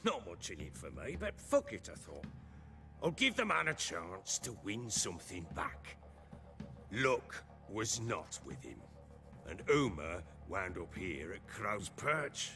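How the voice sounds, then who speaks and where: Casting: a gruff, swearing baron, low gravelly voice, big swings in delivery, fantasy game drama. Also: male voice, very animated, slow, bright, forceful tone, faint music behind, heavily acted. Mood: wry storytelling. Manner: coarse, swearing, blustering. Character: gruff, coarse middle-aged baron, boisterous and commanding.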